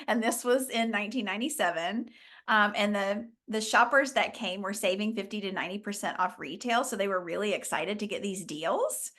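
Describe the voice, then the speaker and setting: A middle-aged woman from Oklahoma, a business founder chatting as a guest, telling her story with quick laughs. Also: mid-range voice, fairly bright tone. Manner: chatty, laughs often, upbeat. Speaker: franchise founder, interview guest. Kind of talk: casual storytelling.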